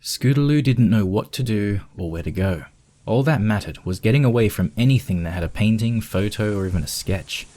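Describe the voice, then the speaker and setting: A man's voice: fairly low pitch, lively intonation, brisk, mellow. A man reading scripted story narration as the narrator of a piece of fan fiction.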